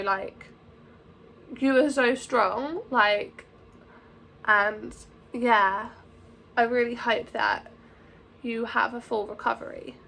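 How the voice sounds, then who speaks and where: A young woman talking anxiously to camera in a vlog, hesitant and full of fillers, her voice croaky from a sore throat. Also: on the high side, fairly bright tone. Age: young adult. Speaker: vlogger.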